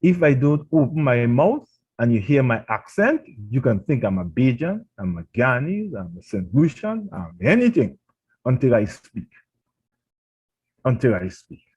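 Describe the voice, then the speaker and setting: A man's voice: medium pitch, sing-song, a little slow, a little mellow, slightly rough, dull audio. A man with a Trinidadian accent, preaching a radio sermon and pressing his points with emphatic rhetorical questions. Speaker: pastor.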